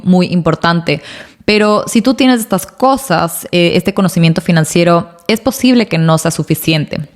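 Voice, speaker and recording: Chatty woman podcast host. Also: lowish voice. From Ecuador. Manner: chatty, many "eh" fillers, emphatic asides. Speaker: podcast host and financial coach. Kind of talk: personal storytelling monologue.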